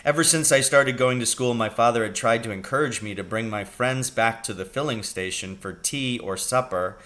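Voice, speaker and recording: A man reading a children's story aloud as a teacher would, with expressive narration. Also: mid-range voice, normal speed.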